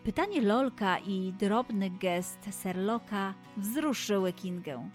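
A woman narrating and hosting a children's story podcast, deliberately addressing young listeners. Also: mid-range voice, expressive, faint music behind.

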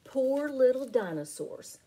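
Middle-aged female teacher for picture book read-aloud: mellow, slightly husky, sing-song delivery. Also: sits high, big pitch swings, slow. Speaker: teacher reading aloud to children. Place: small home room with window blinds.

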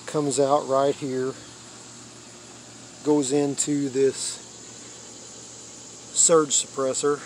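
An older American man giving a casual, unscripted show-and-tell in halting short phrases, recorded outdoors on a handheld device. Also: medium pitch, darkish tone, slight background noise. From United States. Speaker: radio hobbyist giving a tour. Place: open rural backyard, outdoors. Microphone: handheld phone or camera mic.

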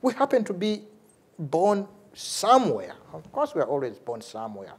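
A middle-aged man with a Ugandan accent, speaking off the cuff as a lecturer, animated and repeating words for emphasis. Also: on the high side, moderate pace, husky. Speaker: lecturer, theology professor and priest. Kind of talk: lecture with off-the-cuff answer to a question. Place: large university lecture hall, at a lectern.

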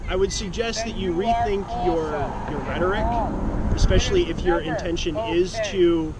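A man in his thirties with a notably high voice speaks in a measured, politely critical tone. He stands outdoors in a parking lot, a few feet from the camera that records him. Loud crowd noise fills the background. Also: poor audio. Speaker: church congregant. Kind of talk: outdoor conversation. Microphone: other person's body camera, a few feet away.

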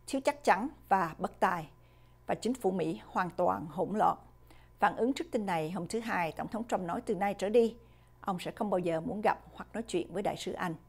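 A middle-aged woman reading the news as a studio anchor, in a steady, formal delivery. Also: medium pitch, moderate pace, vocal fry. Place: studio with a virtual backdrop.

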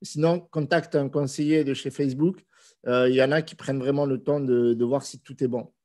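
Man, thirties, has a cold, relaxed live Q&A, hesitant, desk mic on a video call. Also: mid-range voice, a little fast. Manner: relaxed, conversational, with frequent hesitations. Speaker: host answering viewer questions. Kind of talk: casual live Q&A. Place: small indoor room. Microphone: desk microphone on video call.

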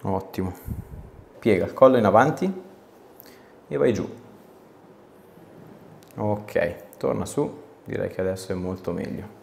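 A man in his thirties with a low voice talks as a chiropractor at work. He gives short, casual instructions and comments, recorded in a small, clearly echoing treatment room. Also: expressive, measured pace, long pauses, darkish tone, slight background noise. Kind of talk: casual hands-on session talk with short instructions.

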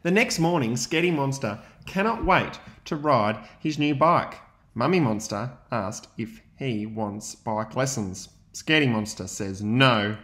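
A young adult male teacher, reading a picture book aloud to young students and pausing to ask them questions. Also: a little high, reverberant. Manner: gentle, expressive storytelling with pauses to ask questions.

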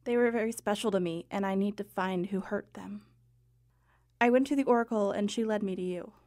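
A woman's voice: mid-range voice, brisk, a little mellow, natural voice. A woman playing a grieving, guarded heroine of ancient Greece in an audio drama, earnest and hesitant.

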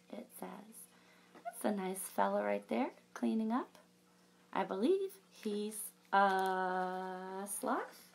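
Animated woman reading to children, unhurried. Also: mid-range voice, gravelly. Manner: expressive, chatty asides to young listeners. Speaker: library story time presenter. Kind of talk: animated picture-book read-aloud for children.

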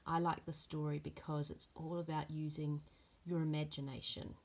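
A woman, a primary school teacher reading a storybook aloud to young children in a simple, expressive way. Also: sits low, moderate pace, vocal fry.

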